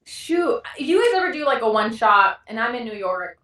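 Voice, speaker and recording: A woman guest chatting over a video call in a bubbly, animated, giggly way. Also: on the high side, normal speed, echoey room, far from the mic.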